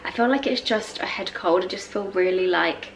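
A young British woman talks to a handheld camera in her kitchen, her voice thick and stuffy from a head cold. She rambles along casually, full of "like" and "um", at a middling pitch, with a faint hum of room ambience behind her. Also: normal speed, vocal fry, rough recording. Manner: rambling, filler-heavy, lots of "like" and "um". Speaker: lifestyle vlogger. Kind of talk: casual chatty vlog to camera. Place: home kitchen, indoors. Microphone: handheld camera at arm's length.

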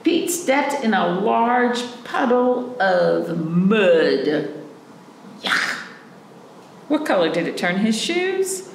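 Slow-paced, creaky-voiced woman leading children's storytime. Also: medium pitch, very animated. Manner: simple, encouraging questions addressed to young children. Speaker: children's librarian hosting storytime. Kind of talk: children's storytime talk and picture-book read-aloud. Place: small indoor room, camera a few feet away. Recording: roomy echo, faint background noise.

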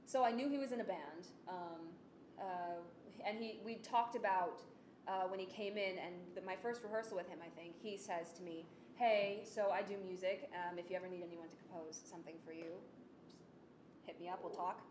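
A young adult woman giving a casual presentation, chatty, animated and self-deprecating. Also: lowish voice, normal speed, a little hiss or hum. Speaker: student presenter of an audio drama project. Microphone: desktop microphone on the table. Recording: roomy, echoey sound with music behind.

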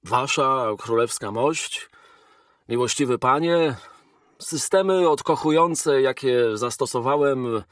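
A man voicing a male robot inventor who speaks to a king in a measured, formal, earnest way. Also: medium pitch, no room sound, clean studio sound, far from the mic, lightly acted.

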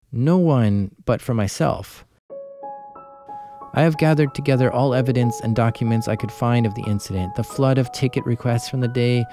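A man narrates a scripted horror tale in a medium-pitched voice. His delivery is grave and deliberate, with an ominous weight to it. Music plays clearly behind him. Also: mellow, no room sound.

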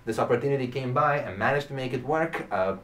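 A man's voice: medium pitch, quick pace, fairly bright tone. A young man from Romania, a native Romanian speaker, chatting casually and talking at length in an interview. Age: young adult. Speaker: podcast guest, classical guitarist. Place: small indoor room with curtain and shelves. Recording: distant microphone in a room.

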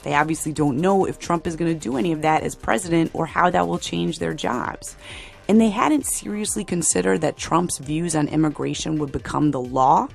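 A woman reporter narrating a radio documentary story in a measured, plain-spoken way. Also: sits low, creaky, soft background music.

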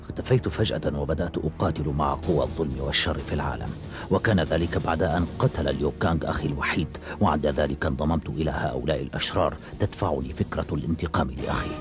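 A grave former traitor in an action cartoon, with a very deep voice. He speaks quickly and earnestly, a solemn warrior seeking acceptance, while music plays loudly behind him. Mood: solemn, regretful. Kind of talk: dubbed cartoon acting.

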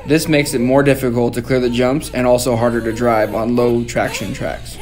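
A young man giving a clear, steady, scripted product tutorial as a voice-over. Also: mid-range voice. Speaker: product demonstrator.

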